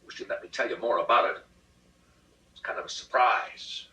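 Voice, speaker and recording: A man's voice: on the low side, normal speed, pushed and bright, pitched above the performer's own voice. A man playing a peevish, childishly insistent husband in a comic short-story reading, pleading and exasperated with heavy emphasis. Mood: whiny, exasperated. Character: peevish, childishly insistent middle-class husband plotting murder. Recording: roomy, distant rehearsal recording.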